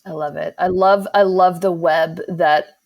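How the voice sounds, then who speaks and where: Effusive woman with a lowish voice. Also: steady tone, unhurried, a little mellow. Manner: effusive, informal. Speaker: podcast co-host. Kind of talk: casual chat.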